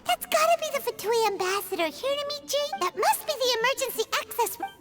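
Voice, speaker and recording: A woman voicing a small, excitable, high-voiced character in a video game. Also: fast talker. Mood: curious. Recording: game audio under loud music. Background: loud game music.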